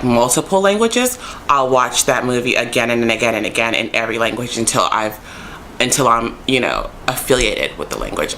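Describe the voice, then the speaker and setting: A woman vlogger chatting casually to camera, rambling with lots of ums and likes and jumping up in pitch when excited. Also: a little hiss or hum, poor audio. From United States. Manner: animated, jumps high when excited.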